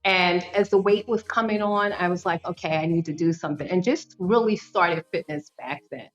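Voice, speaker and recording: A woman's voice: lowish voice, normal speed, lo-fi sound, faint music behind. A woman in her fifties, a podcast guest, telling her story in an earnest, conversational way with frequent fillers and restarts. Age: 50s. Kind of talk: conversational interview.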